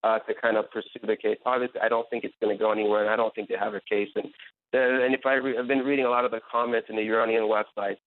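A man's voice: on the low side, flat delivery, very fast, dry recording. A man, a journalist on a radio panel, arguing his point fluently and earnestly. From Iran, raised in America. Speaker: journalist and blogger, panel guest. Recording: tinny phone line.

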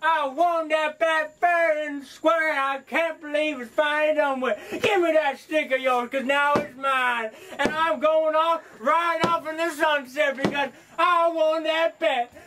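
An actor playing a gleeful, cackling old backwoods coot in a western horror film, folksy, whooping and excitable. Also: male voice, extremely high pitch, measured pace, few pauses, recorded from a distance, slight background noise, full character voice. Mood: gleeful gloating.

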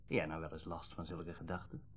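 An actor playing a kindly, frank middle-aged used-car dealer in a radio play, talking in a reflective, conversational way. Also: male voice, extremely low pitch, little pitch movement, brisk, breathy edge, lo-fi sound. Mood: musing. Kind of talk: Dutch radio play acting, naturalistic dialogue.

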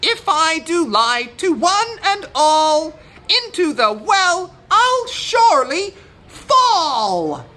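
Excitable rooster, very high and bright, emphatic storybook delivery. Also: female voice, extremely slow, heavily acted. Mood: solemn oath. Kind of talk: children's storybook character voice.

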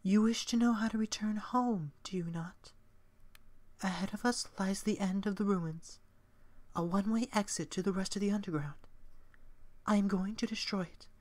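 A woman's voice: medium pitch, moderate pace, darkish tone, lightly acted. A woman voicing a motherly goat monster caretaker from a video game, formal, careful and maternal. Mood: grave, stern. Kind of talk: video game character impression.

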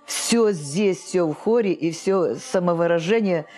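An older woman, a choir director, speaking as an interview guest in an expressive, theatrical way. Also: lowish voice, a little slow, soft background music. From Russia. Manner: expressive, theatrical, acts out quotes and song lines. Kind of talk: reflective interview storytelling. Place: indoor choir rehearsal room.

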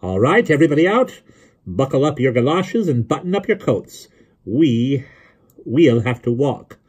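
A man voicing a brisk, bossy, sharp-tongued woman in a storybook reading, with a firm, commanding manner. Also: medium pitch, expressive, husky, pitched above the performer's own voice.

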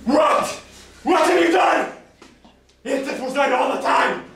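A man's voice: very high-pitched, slow, gravelly, fairly bright tone, a faint crowd, heavily acted. A man playing a cruel, furious master in an amateur stage play, recorded far from the mic in a theatre. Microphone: distant camera microphone. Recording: echoey theatre, distant mic, faint noise.

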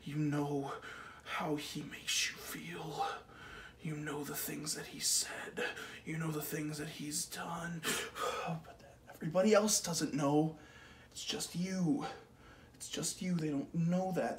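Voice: mid-range voice, reverberant, recorded from a distance, slight background noise. A performer playing a troubled fighter who talks to himself in a dramatic inner monologue, whispering and repeating phrases over and over. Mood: bitter. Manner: hushed, repetitive whispering.

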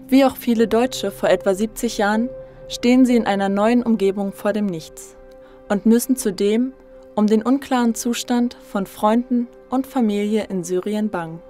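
A woman reading a documentary voice-over in a steady, even-toned, informative way. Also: mid-range voice. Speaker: documentary narrator. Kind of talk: scripted narration. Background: instrumental music.

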